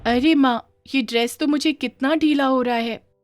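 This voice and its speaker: A woman's voice: sits high, fairly even intonation, normal speed, soft background music, pitched above the performer's own voice, natural voice. A woman voicing an eager young village girl in a cartoon moral story, pleading and exclaiming. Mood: surprised. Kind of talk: animated moral story acting.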